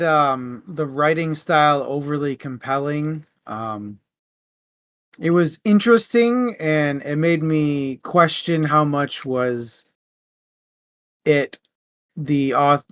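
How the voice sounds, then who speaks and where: A man co-hosting a casual book discussion, speaking in a tentative, halting way. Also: medium pitch, a bit bright, dry recording. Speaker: podcast co-host.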